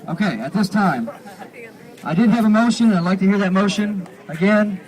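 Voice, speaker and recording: A man with a slightly high voice chairs an outdoor assembly. He speaks in formal procedural phrases, deliberate and a little halting, as he addresses the gathering. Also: darkish tone, rough recording. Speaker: convention chair running a meeting. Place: outdoors at a parking lot gathering.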